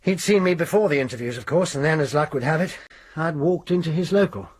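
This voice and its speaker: Ashamed husband with a husky, halting delivery; radio drama. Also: male voice, a little high, expressive, darkish tone. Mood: guilty, defensive. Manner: defensive, hesitant, halting confession. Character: guilt-ridden married schoolmaster man.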